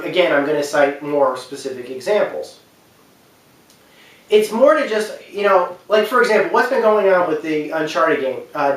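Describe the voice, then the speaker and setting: A man's voice: sits high, fairly bright tone. A man giving an unscripted, rambling opinion rant, repeating his points for emphasis. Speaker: online video commentator. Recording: echoey room, distant from the mic.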